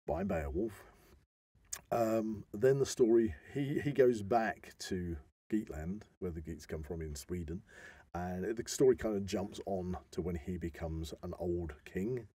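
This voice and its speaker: A man with a low, mellow and rather husky voice co-hosts a podcast. He explains things earnestly and informally, circling back to rephrase as he goes. The recording is close and conversational. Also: measured pace, no room sound. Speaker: podcast co-host. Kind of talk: informal podcast discussion, explaining a legend and traditions.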